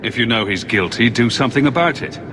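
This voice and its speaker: An actor playing a blunt, skeptical hero in a fantasy video game. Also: male voice, medium pitch, animated, quick pace, background noise, natural voice. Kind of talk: scripted video game acting. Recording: long echo, music behind.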